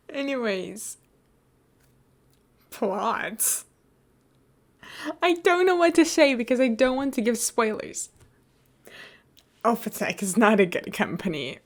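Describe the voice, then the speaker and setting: A woman's voice: a little high, very animated, takes it slowly, long pauses. A woman giving casual, unscripted commentary in an enthusiastic fan-chat manner.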